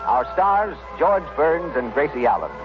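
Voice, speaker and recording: A man's voice: a little high, sing-song, a little slow. A man with an American accent making formal, declamatory scripted announcements in the style of 1930s radio. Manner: formal, declamatory showman delivery. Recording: vintage 1930s radio, dull, music behind.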